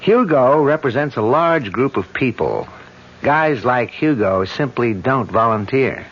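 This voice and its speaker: A plain-spoken police detective in an old-time radio drama. His mid-pitched voice is matter-of-fact, with short clipped phrases. The sound is dull, like an old broadcast. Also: animated, unhurried. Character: plain-spoken, dogged male police detective, proud and concerned.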